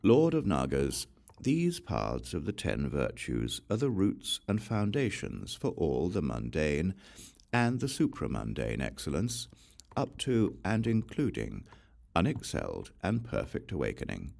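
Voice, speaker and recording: Low gravelly guy reading scripture slow and solemn, voice rises and falls a lot. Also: huge pitch swings, a little mellow. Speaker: audiobook reader.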